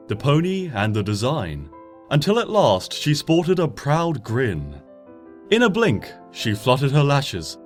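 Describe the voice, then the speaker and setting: A man reads a story aloud in a soft, gentle storybook voice. His pitch sits in the middle but rises and falls freely from line to line. Faint music plays beneath him. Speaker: fanfiction reader. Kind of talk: expressive storybook narration.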